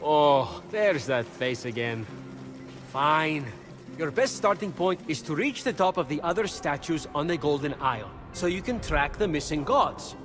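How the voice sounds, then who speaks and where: A man's voice: fairly high pitch, animated, a little slow. An actor playing a glib, cheeky trickster god in a video game, with flippant, wry salesman patter. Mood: offhand. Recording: loud game music behind voice.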